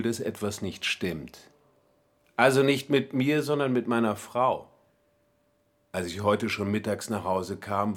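A man in his forties with an average-pitched voice delivers a radio play monologue. He is a betrayed, violent husband, blunt and grim as he recounts things. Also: a bit bright. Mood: bitter, subdued. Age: 40s. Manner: blunt, storytelling. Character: betrayed, violent 47-year-old husband recounting a bloody attack.

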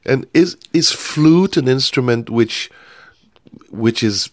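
A German man with a German accent hosting an interview, asking questions in halting, jovial English. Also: mid-range voice, expressive, dry recording, clean studio sound. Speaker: radio host. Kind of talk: bilingual interview.

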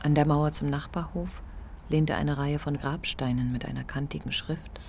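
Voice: medium pitch, steady tone, moderate pace, vocal fry, darkish tone, slight background noise. A narrator reading literary prose in a scripted radio drama.

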